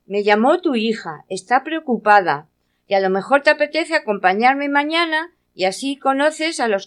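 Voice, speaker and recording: A woman's voice: fairly high pitch, close to an everyday voice. A woman playing a friendly, persistent, chatty neighbour in an amateur radio play. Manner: chatty, coaxing.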